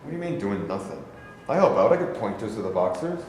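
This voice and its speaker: A man's voice: fairly low pitch, sing-song, fast, a little mellow, soft background music. An actor in his thirties in a staged play reading, playing a bitter, defensive former boxer. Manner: defensive, flares up angrily. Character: bitter former boxer, a young man gone stale. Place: large rehearsal studio. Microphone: far camera. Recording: roomy echo from a far camera.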